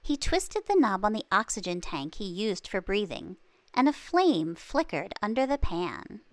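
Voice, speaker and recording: A woman reading a children's story aloud expressively, with dramatic pauses and emphasis. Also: mid-range voice, big pitch swings. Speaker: children's book reader.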